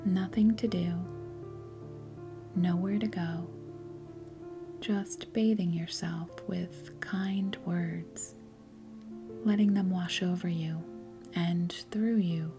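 A woman leading a guided meditation in a soft, breathy, gentle and soothing way, with unhurried pauses. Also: lowish voice, fairly even intonation. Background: faint music.

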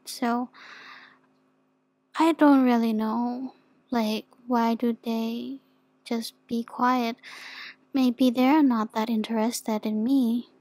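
Woman acting as a shy innocent demon girl, asmr roleplay, slow n kinda high, super close dry sound. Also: no echo. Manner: hesitant, innocent, gently questioning. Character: soft, naive, insecure young female demon.

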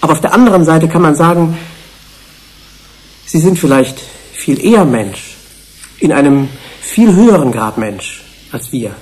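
A man playing a reflective, earnest research scientist in a radio play, with a deliberate, moralizing delivery. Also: a little high, expressive, darkish tone. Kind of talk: German radio play acting.

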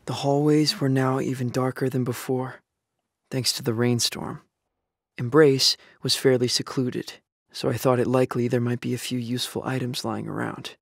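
Young male survivor, reflective first-person audio drama narrator, dry and wry, level pitch. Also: fairly even intonation, moderate pace, creaky, no echo.

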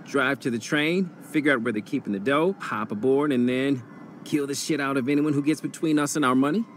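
An actor playing a young crew boss in a video game, cocky but earnest, with a casual, slangy way of talking. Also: male voice, medium pitch, brisk, a little hiss or hum, close to an everyday voice. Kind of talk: video game cutscene acting.

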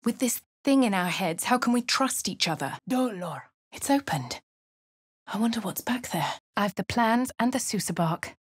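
A woman with a posh southern English accent playing a wry, composed female adventurer in a fantasy game, narrating in a crisp, clipped way with dry asides. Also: mid-range voice, expressive, moderate pace, no room sound. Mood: wary. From Baldur's Gate. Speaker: player character. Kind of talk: video game voice lines.

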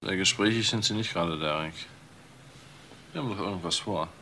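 A low-voiced, suspicious crook. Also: male voice, a little fast, bright, forceful tone, a little hiss or hum. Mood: wary, needling. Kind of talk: crime radio drama acting.

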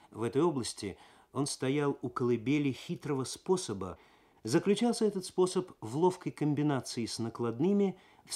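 A male narrator with a medium-pitched voice reads prose for 1970s radio. His delivery is actorly and expressive, building suspense in a literary style. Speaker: narrator, reader.

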